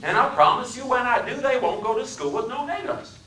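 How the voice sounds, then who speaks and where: A man voicing a hateful young hitchhiker with a Deep South drawl, boastful and quick to shout, in a dramatic reading. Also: lively intonation, roomy sound, far from the mic, exaggerated voice, low-quality recording. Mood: zealous. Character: hateful young Southern male hitchhiker, bigoted and hot-tempered.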